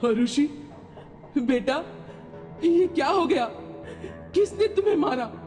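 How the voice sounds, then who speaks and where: A distraught parent, a father in a horror cartoon, voiced by a woman. The voice is high and anguished, urgent in tone, with music behind it. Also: animated, slow, a little mellow. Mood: alarmed grieving. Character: alarmed, distraught father fearing for his daughter. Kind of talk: horror cartoon story dialogue. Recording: long reverb, music behind.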